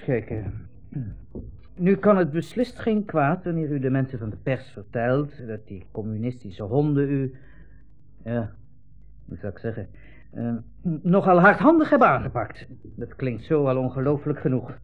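A man's voice: medium pitch, animated, normal speed, soft background music, close to an everyday voice. Man acting smug army captain on muffled old radio. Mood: smug coaxing. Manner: hesitant hems, leading questions, condescending. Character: smug, insinuating army captain interrogating refugees. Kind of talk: 1960s Dutch radio play acting. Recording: muffled old radio recording, narrow band.

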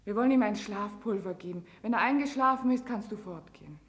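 A woman's voice: medium pitch, fairly quick, lightly acted. An actress in a German radio drama playing a weary young woman on trial, pleading innocence in testimony that swells into emotion. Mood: weary, confessing. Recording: long hall reverb.

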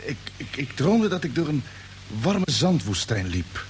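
An actor playing a drowsy, bewildered space expedition commander in a radio play, speaking haltingly and repeating words. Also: male voice, a little high, big pitch swings. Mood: dreamy recollection. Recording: noisy, humming old radio drama recording.

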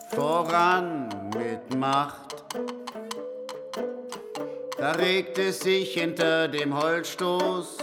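Very slow, low female verse narrator. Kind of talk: solemn rhymed radio play narration. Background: prominent music.

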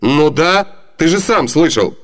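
An actor playing a courteous, proud young gentleman in a comedy radio play, formal and ceremonious. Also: on the high side, expressive, a little slow. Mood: firm.

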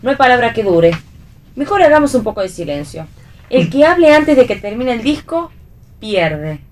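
A woman's voice: on the high side, very animated, moderate pace, far from the mic. A woman with an Argentine accent playing a flippant, theatrical young bohemian actress in a radio play reading, teasing and dramatic. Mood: languid, playful.

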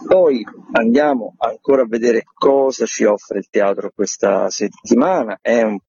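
A man's voice: mid-range voice, measured pace, vocal fry, darkish tone, breathy edge, no room sound, highs cut off. A man, a theatre critic on radio, going through event listings in a casual, hesitant, rambling way. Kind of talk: semi-scripted listings rundown on radio.

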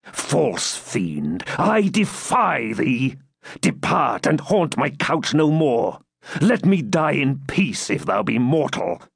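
A man's voice: medium pitch, big pitch swings, takes it slowly, creaky, slightly rough, no echo, clean studio sound, pitched above the performer's own voice, exaggerated voice. A man voicing a fierce, stubborn dying baron who growls out defiant speeches in an audiobook.

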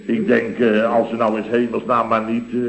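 A man's voice: medium pitch, completely flat delivery, normal speed, gravelly, very noisy, close to an everyday voice. An actor playing a chatty, bemused company boss in a vintage Dutch radio comedy, hesitating and repeating himself as he rambles. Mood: bewildered storytelling. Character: male boss of a contracting firm, chatty, bemused houseguest. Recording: dull, boxy vintage radio recording, roomy.

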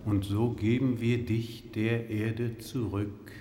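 Radio drama: ceremonial funeral officiant, reverent, drawn-out delivery with long echoing reverb. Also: male voice, fairly low pitch, flat delivery, background noise. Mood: solemn, reverent. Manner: solemn, ceremonial, slow. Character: solemn male officiant at a burial rite. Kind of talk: German science-fiction radio drama acting. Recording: echoey hall with long reverb.

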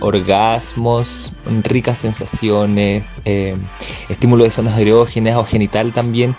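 Guy, lowish mellow voice, thinking out loud with lots of pauses, music under him. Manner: hesitant, searching for words. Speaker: guest contributor. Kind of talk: reflective spoken monologue.

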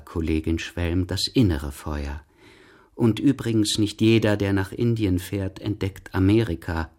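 An actor in a radio drama playing a measured, lecturing male scientific expert. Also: medium pitch, darkish tone. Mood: emphatic, lecturing. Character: astropsychologist doctor, male expert on a mock space panel. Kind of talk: radio drama, scripted narration and dialogue.

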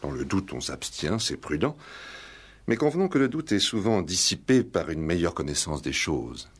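Refined gentleman, extremely deep voice, husky, suave, formal, articulate, guarded, vintage French radio drama. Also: big pitch swings, heavily acted. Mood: smooth, assured. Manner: very clear articulate diction, formal, deliberate. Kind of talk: 1960s French radio play acting.

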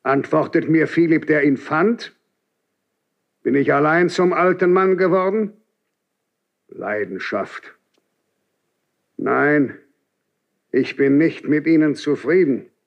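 A severe old churchman in a classical radio drama, unyielding and reproachful. He declaims slowly and deliberately in a fairly high male voice. The recording is dull, like 1970s radio. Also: lively intonation, gravelly, heavily acted. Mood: scornful. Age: older. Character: aged Grand Inquisitor, stern, unyielding old priest.

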